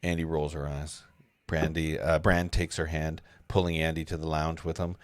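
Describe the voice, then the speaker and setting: A man's voice: very deep voice, little pitch movement, normal speed, no room sound. A man narrating stage directions in an amateur script read, stumbling over words and correcting himself.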